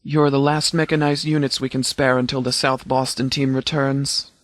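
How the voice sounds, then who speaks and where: A man's voice: mid-range voice, little pitch movement, a bit bright, dry recording, lightly acted. Man playing a stern, dry-recorded game sheriff. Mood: commanding, grave. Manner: measured, formal, authoritative, clipped orders. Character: stern, composed sheriff of a post-apocalyptic militia. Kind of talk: scripted video game dialogue.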